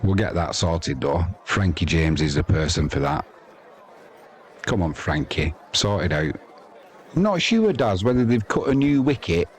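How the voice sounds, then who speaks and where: A man's voice: low-pitched, big pitch swings, measured pace, some chatter behind. A man with an English accent giving casual, rambling, chatty live cricket commentary as the host of a sports stream.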